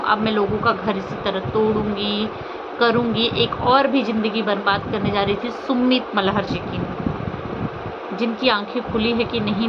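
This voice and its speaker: A woman speaking off the cuff as a social media commentator, indignant and reproachful, throwing out rhetorical questions. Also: on the high side. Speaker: social media vlogger commenting on online drama. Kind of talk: unscripted opinion commentary on a personal scandal. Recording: muffled, lo-fi, loud vehicle and ambient noise.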